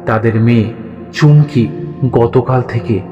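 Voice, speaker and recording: A man's voice: mid-range voice, takes it slowly, muffled recording. Male story narrator with long reverb. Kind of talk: scripted dramatic horror story narration. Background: background music.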